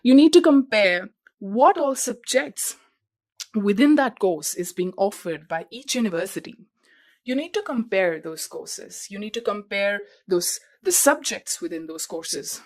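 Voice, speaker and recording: A woman's voice: medium pitch, animated, measured pace, a little mellow. A young woman hosting a podcast who explains things step by step in a repetitive way and talks straight to her listeners. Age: young adult. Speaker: podcast host. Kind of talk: advice talk.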